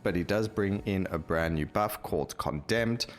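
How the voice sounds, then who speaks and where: A man's voice: sits low, moderate pace. A man giving casual, enthusiastic gameplay commentary as the host of a gaming video, explaining things informally.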